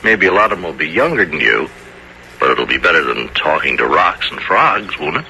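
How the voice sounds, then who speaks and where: Forceful, swooping, coaxing developer. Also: male voice, extremely low pitch, sing-song, a little fast, exaggerated voice, rough recording. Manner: coaxing, patronising. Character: persuasive, condescending middle-aged property developer. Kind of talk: 1970s American radio drama acting. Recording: old radio recording, faint noise.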